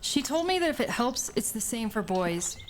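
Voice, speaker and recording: A woman playing a cautious, dry-witted teenage Sicilian girl in a radio play, sceptical and expressive. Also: on the high side, moderate pace, slight background noise. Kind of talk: dramatic radio play acting.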